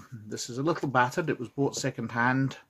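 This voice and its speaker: A man's voice: medium pitch, normal speed, fairly bright tone, husky. A man with a head cold, sniffly, hosting a casual review of an old roleplaying game book and reading its text aloud, with chatty asides and frequent "uh"s. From Scotland.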